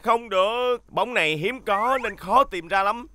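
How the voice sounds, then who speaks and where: Bright, high, boastful cartoon bulldozer. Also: male voice, unhurried, no room sound. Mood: refusing. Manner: boastful, exclamatory. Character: boastful, excitable young male bulldozer vehicle. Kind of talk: animated children's cartoon dub.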